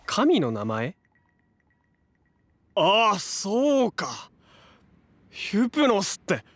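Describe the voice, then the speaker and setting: An actor playing a young, loyal, quick-witted hero in a Japanese audio drama, with casual, punchy, confident delivery. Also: male voice, high voice, wildly swooping pitch, fairly bright tone, no room sound, full character voice. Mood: reflective.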